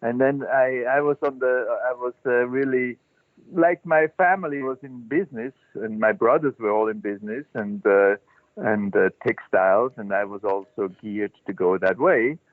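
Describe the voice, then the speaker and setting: An older man, a Zen teacher telling his life story as an interview guest, halting and thoughtful with many restarts. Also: medium pitch, no echo. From Switzerland. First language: German. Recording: dry, tinny phone-line sound.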